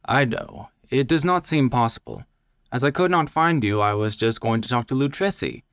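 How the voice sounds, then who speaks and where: A man's voice: mid-range voice, lively intonation, moderate pace, a bit bright, no echo, clean studio sound, voice pushed up. A man voicing a falsely friendly, scheming nobleman in a storybook reading, coaxing and politely solicitous. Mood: sly coaxing.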